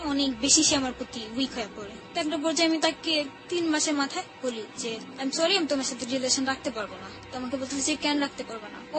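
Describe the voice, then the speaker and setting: A young woman with a Bangladeshi accent, telling personal stories candidly in casual colloquial talk as a show guest. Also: high voice. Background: background music.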